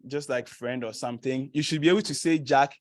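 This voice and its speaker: A man's voice: medium pitch, fairly quick, no echo, studio quality. A young man from Ghana with a Ghanaian accent, giving an informal student presentation to an audience, chatty, laughing often and using many fillers. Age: young adult.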